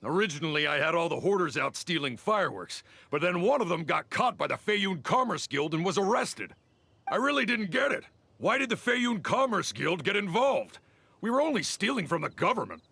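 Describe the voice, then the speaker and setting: A man's voice: sits high, very animated, a little fast, gravelly, bright, forceful tone, breathy edge, dry recording, full character voice. An actor playing a shifty, cornered bandit leader in a video game, stammering and hesitant as he caves. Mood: grudgingly confessing. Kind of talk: video game story dialogue.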